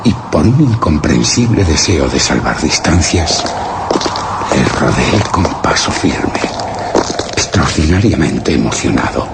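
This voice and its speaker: An actor playing a solemn, anxious chronicler in a radio horror drama, grave and formally literary, his phrase endings turning breathy. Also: a bass voice, very noisy, heavily acted. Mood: solemn unease. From Spain. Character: solemn, anxious male chronicler of a mysterious encounter. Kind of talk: radio horror drama, first-person narration of a written account. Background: music.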